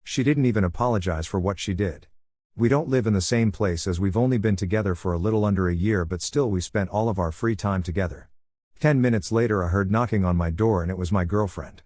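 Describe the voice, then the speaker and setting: A man reading online posts aloud in a steady, even voice-over. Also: on the low side, a little fast, no echo, studio quality. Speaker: story reader.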